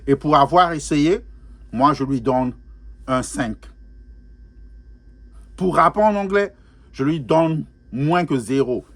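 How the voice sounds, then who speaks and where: A man's voice: fairly high pitch, expressive, takes it slowly, long pauses, fairly bright tone. A man hosting a music reaction video in a casual, chatty way, repeating his phrases, slipping English words into his talk and laughing often. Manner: laughs often while talking. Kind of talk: casual spoken reaction commentary.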